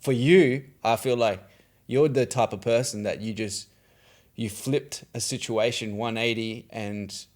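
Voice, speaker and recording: A man hosting a podcast, thoughtfully summing up and reflecting on his guest's story in an earnest way. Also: lowish voice. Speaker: podcast host. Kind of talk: reflective interview commentary.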